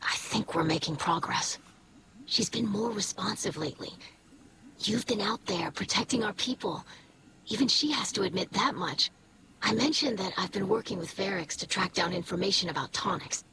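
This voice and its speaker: An earnest young alien scholar from a video game, played by a woman, speaks in a mid-pitched voice. Her phrasing is careful and formal, like a devoted student, and clear ambient noise sits behind her. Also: moderate pace, close to an everyday voice. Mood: earnest, hopeful. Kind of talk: video game character dialogue.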